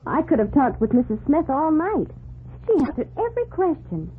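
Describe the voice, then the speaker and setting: A woman's voice: high voice, very animated, normal speed. An actress playing a perky, eager young woman assistant with a chatty manner, in 1940s radio drama style. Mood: chatty, confiding. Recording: noisy, phone-like 1940s radio recording.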